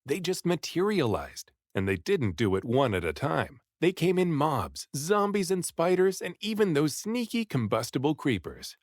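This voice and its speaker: A man reading a story aloud in an expressive, dramatic first-person audiobook style. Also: on the high side, normal speed, few pauses, dry recording, clean studio sound. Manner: dramatic, animated delivery with acted dialogue. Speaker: read-aloud narrator and voice actor.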